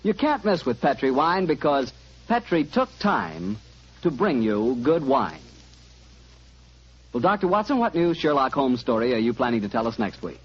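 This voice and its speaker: An American man announcing and pitching a sponsor in polished, emphatic 1940s radio style. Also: very animated, normal speed, slightly rough. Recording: dull 1940s radio broadcast, music behind.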